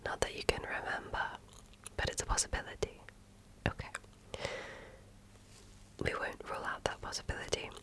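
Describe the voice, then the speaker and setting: A woman whispering in ASMR style as she role-plays a medical examiner, gently asking questions in short, measured phrases.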